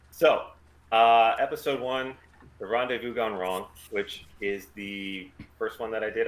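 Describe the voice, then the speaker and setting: A man's voice: on the low side, poor audio. A man chatting casually as he organises a group script read over an online call. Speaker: writer and organiser of the table read.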